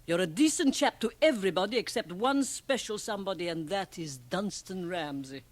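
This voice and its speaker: Actress as a worldly, low-voiced woman. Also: lively intonation, fairly quick, lightly acted. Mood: scolding, frank. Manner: emphatic, theatrical, probing. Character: shrewd, worldly, provocative Swiss woman, blunt and teasing. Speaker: radio drama actress. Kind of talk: radio drama acting.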